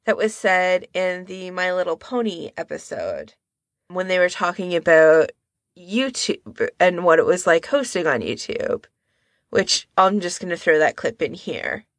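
A woman with a slightly low, creaky voice co-hosts a casual discussion. The recording is dry and close, without room sound. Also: animated, a little slow, no room sound. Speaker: podcast co-host.

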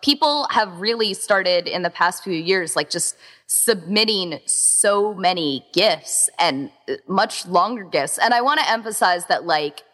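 Bright, animated woman in a reverberant space. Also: on the low side, measured pace, hardly any pauses. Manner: informal, gushing, emphatic, says "like" constantly. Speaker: podcast interview guest, event organizer. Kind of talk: casual interview chat. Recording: long reverberant echo.

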